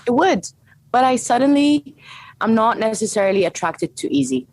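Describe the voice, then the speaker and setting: A woman from Saudi Arabia whose first language is Arabic, a fitness coach speaking as an interview guest in an animated, laughing way. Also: a little high, moderate pace, gravelly, dry recording. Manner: animated, laughs often, frequent restarts. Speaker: guest, strength and conditioning coach. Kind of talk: casual interview conversation.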